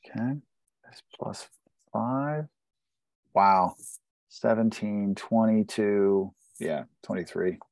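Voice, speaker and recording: A man's voice: lowish voice, takes it slowly, creaky, pauses often, a little mellow, no echo. A man chatting casually while playing a tabletop role-playing game.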